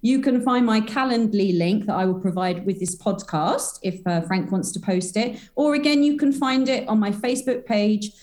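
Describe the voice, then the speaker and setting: A woman's voice: mid-range voice, normal speed, hardly any pauses, a little mellow. A woman, a guest on a podcast, chatting in a bubbly, rambling way full of spiritual talk. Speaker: podcast guest, energy healer. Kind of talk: casual podcast chat.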